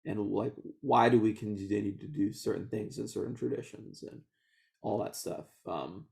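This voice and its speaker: A man in his thirties, born in Houston and raised speaking Vietnamese first, recounts his childhood in a loose, conversational interview. His voice sits a little low with a noticeable gravelly fry, and he laughs easily and backs up to restart his sentences. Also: a little mellow. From Houston, Texas. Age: 30s. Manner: laughs often, many restarts and fillers. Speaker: oral history interviewee. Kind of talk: relaxed conversational storytelling.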